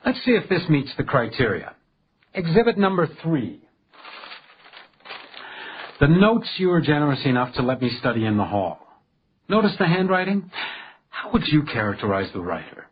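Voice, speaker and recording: An actor playing a shrewd, defiant house detective, speaking in a precise, dryly wry way in a radio crime drama. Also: male voice, mid-range voice, muffled recording, slight background noise, close to an everyday voice.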